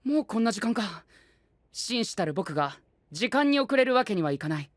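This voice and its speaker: An actor playing a prim, rule-bound young gentleman knight who speaks pompously and tosses in English exclamations, in an anime audio drama. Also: sits high, big pitch swings, moderate pace, no room sound, clean studio sound. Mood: fussy. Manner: pompous, drops English exclamations into formal speech. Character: prim penguin gentleman knight, youthful, fussy about rules.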